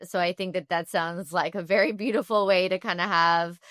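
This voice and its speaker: A woman's voice: on the low side, fairly even intonation, normal speed, a bit bright, no room sound, clean studio sound. A woman hosting a podcast interview, upbeat and chatty, with lots of "kind of" and "sort of" fillers. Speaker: podcast host.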